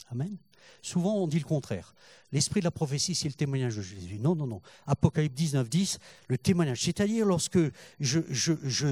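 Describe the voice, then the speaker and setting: An older man preaching with a fairly high voice in a close, dry sound. He halts and repeats words, then climbs into fervent passages. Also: dark tone, no echo. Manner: halting, repeats words, rises in fervor. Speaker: pastor. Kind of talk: sermon.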